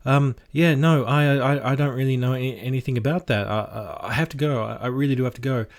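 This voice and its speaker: A man voicing a shifty male informant who stammers, repeats his words and rushes to get away. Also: mid-range voice, pitched above the performer's own voice, natural voice. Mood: panicky.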